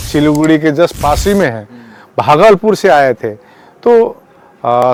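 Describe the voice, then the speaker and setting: A man speaking as a protest organiser, giving a campaign statement in a halting, repetitive way and mixing in English words. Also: fairly high pitch, darkish tone. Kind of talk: spoken public statement on a campaign.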